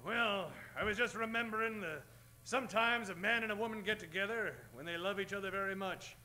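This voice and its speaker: A man's voice: high voice, brisk, bright, forceful tone. An actor playing a self-assured, self-important lawman hero in an old-time radio western comedy. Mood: scheming.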